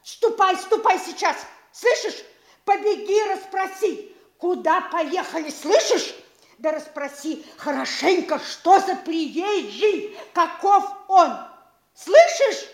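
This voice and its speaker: An actress playing an excitable, vain, middle-aged mayor's wife who scolds and fires off questions. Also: female voice, slow, full character voice. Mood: scolding. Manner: scolding, breathless, shrill exclamations. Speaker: actress in a radio play. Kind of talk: classic stage radio acting. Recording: echoey room.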